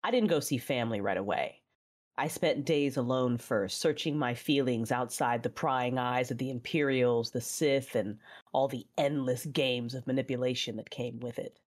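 Audio drama lead: hardened Sith mother, low-voiced, dramatic first-person narrator. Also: normal speed, a little mellow. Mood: measured, brooding. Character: hardened, ruthless Sith mother recounting her life.

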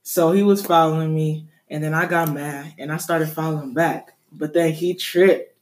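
A man's voice: a little high, steady tone, a little slow. A young man casually telling a story on a podcast, rambling and correcting himself as he goes. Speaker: podcast co-host.

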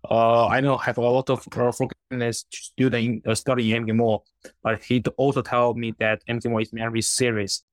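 A young man from China with Chinese as his first language, answering interview questions haltingly, often restarting words. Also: medium pitch, little pitch movement, no room sound. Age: 20s. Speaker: guest.

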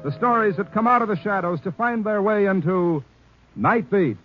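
A man's voice: high voice, normal speed. A man with an American accent announcing on 1950s radio, reading scripted promotion with polished, emphatic delivery. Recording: muffled 1950s radio recording, music behind.

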